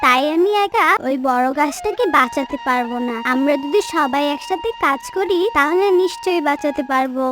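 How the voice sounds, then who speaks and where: A woman's voice: high-pitched, moderate pace, over music, natural voice. A woman voicing a small, kind-hearted, earnest female bird in a children's cartoon. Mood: earnest, pleading.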